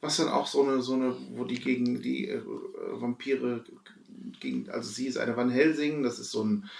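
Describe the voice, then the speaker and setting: Guy chatting casually on a podcast, creaky voice, sits back from the mic. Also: mid-range voice, fairly even intonation, moderate pace, darkish tone. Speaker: podcast co-host. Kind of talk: casual chat among friends. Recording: roomy, distant from the mic.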